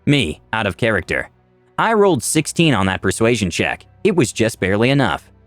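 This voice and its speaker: A male story narrator with a mid-pitched, highly animated voice. He delivers scripted first-person fantasy narration with drama. The voice is recorded dry, with background music clearly present. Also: fairly quick, dry recording.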